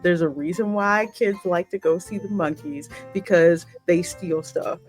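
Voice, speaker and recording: A woman's voice: on the low side, unhurried, faint music behind. A woman with an American accent, a gaming host chatting with a co-host in a colloquial, playful way. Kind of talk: casual reaction chat with a co-host.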